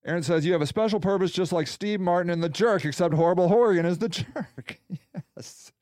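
A man hosting a podcast in a loud, profane, ranting monologue. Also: sits high, a little fast, no echo, clean studio sound. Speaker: podcast host. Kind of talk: casual monologue.

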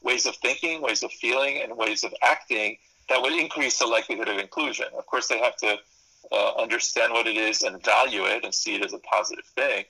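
Gravelly, bright-voiced male professor. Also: medium pitch, fairly quick, low-quality recording. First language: Spanish. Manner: thoughtful, explanatory, piles up lists and restarts. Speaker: psychology professor and consultant. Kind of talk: interview answers. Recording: radio interview.

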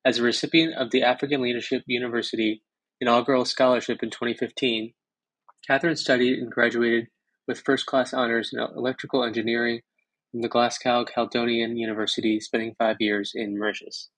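A man hosting a podcast, reading a guest introduction and then asking questions in a measured way with hesitant fillers. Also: mid-range voice, flat delivery, a bit bright, no echo, rough recording. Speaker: podcast host. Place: Mauritius.

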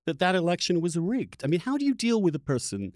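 A man's voice: high voice, sing-song, fast talker, darkish tone, dry recording, studio quality. A man hosting a podcast debate, combative and insistent, pressing his point with pointed questions. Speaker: podcast host and interviewer. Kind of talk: heated political debate.

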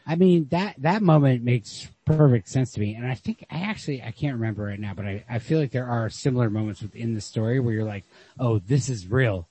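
A man's voice: mid-range voice, fairly quick, hardly any pauses, warm tone, husky. A man talking casually about literature as a podcast host, on a lo-fi home recording.

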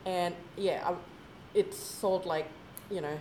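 A woman's voice: lowish voice, takes it slowly, a little hiss or hum. A woman in her thirties from Indonesia, a native Indonesian speaker with an American-sounding English accent, chatting as a podcast guest with many fillers. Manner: chatty, full of fillers and lively retold quotes. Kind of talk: casual conversation. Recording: echoey room.

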